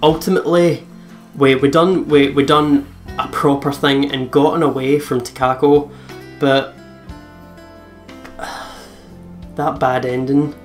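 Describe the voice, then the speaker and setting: Mid-pitched game commentator. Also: level tone, takes it slowly, pauses often, faint music behind. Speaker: let's play host. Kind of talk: casual game commentary and script reading.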